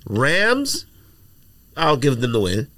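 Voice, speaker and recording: A man's voice: mid-range voice, huge pitch swings, takes it slowly, fairly bright tone, no echo. A man hosting a casual sports podcast, blunt and dismissive in his trash talk. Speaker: podcast host.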